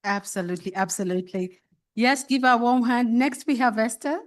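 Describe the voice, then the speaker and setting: A woman's voice: a little high, normal speed. A woman moderating a panel on a conference stage before a live audience, playful and encouraging as she introduces the speakers.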